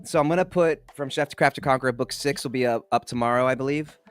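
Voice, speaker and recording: A man's voice: medium pitch, fast talker, clean tone, no echo, faint music behind. Casual joking male podcast co-host. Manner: loose, swearing, joking. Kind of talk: casual banter. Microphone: studio mic.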